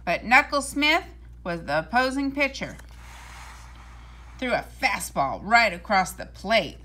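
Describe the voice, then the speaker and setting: A woman reading a children's storybook aloud in a deliberate, expressive storytelling manner. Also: mid-range voice, slight background noise.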